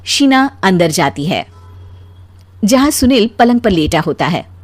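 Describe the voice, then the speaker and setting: A woman narrating a scripted animated story as its storyteller. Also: mid-range voice, measured pace.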